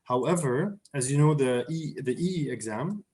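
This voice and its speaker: A man's voice: mid-range voice, lively intonation, normal speed, darkish tone. A young man from Syria, a surgery resident, answering interview questions in a hesitant, casual way, with many fillers and restarts.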